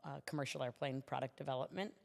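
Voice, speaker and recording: A woman's voice: extremely low pitch, quick pace, vocal fry, long reverb tail. A middle-aged American woman speaking conversationally as a guest in a podcast interview. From United States. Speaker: interview guest, city commissioner.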